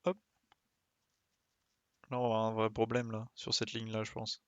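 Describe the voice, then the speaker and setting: A man's voice: fairly low pitch, monotone, normal speed, no room sound, lo-fi sound. A man giving casual live commentary as he plays a game, muttering short interjections as he thinks aloud. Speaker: game streamer.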